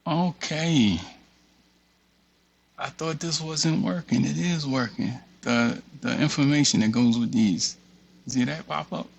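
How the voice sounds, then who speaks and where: A man giving a casual screen-share walkthrough, thinking aloud in a halting mutter and reading text off the screen. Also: on the high side, gravelly, slightly rough. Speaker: tutorial presenter. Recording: dull, muffled lo-fi audio.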